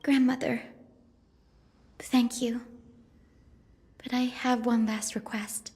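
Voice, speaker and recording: A woman voicing a devoted young granddaughter in a fantasy game, speaking gently and haltingly. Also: on the high side, level tone. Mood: pleading, grateful. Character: soft-spoken young granddaughter, devoted and pleading.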